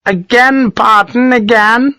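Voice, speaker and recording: A man's voice: very high-pitched, fairly even intonation, slow pace, bright, forceful tone, clear, resonant tone, no room sound, pitched above the performer's own voice, exaggerated voice. A man voicing a pompous, irascible colonel in an audiobook, barking imperious orders at subordinates. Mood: impatient, commanding.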